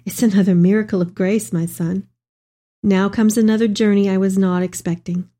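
A woman's voice: medium pitch, fairly even intonation, moderate pace, warm tone, close to an everyday voice. A woman playing an elderly, devout mother in a dramatic reading of letters to her son, with tender, heartfelt delivery. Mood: tender, hopeful. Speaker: dramatic reader. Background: music.

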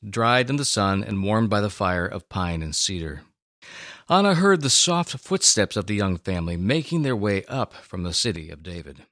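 A man narrating a scripted dramatic story with deliberate phrasing and dramatic pauses. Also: on the low side, fairly bright tone, dry recording.

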